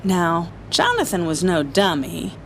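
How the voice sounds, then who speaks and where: A woman reading a children's story aloud to a group, with expressive, emphatic delivery. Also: fairly low pitch, measured pace, vocal fry, slight background noise.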